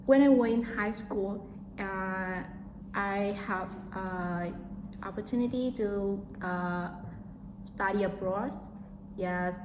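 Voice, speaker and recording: A young woman from Vietnam answering interview questions haltingly, searching for words. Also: medium pitch. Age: young adult. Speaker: interviewed student. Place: indoor studio room with a cartoon backdrop. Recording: echoey room with noisy background.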